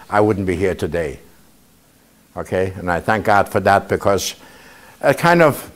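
A man in his seventies, a Holocaust survivor, giving a conversational talk to students and often checking in with them by asking "okay?". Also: on the low side. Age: 70s. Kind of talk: informal testimony talk to a student audience. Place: indoor museum room.

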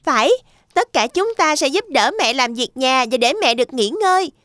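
A woman's voice: high voice, lively intonation, moderate pace, fairly bright tone, no echo, clean studio sound. A woman voicing a small, clever, earnest boy piglet in a children's cartoon. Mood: earnest, cheerful. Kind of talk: animated fairy tale dub.

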